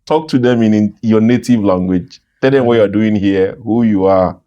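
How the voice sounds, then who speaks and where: A man's voice: lowish voice, moderate pace, dark tone, muffled recording. A man hosting an interview show, speaking formally and emphatically, often repeating the guest's answers back. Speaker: host.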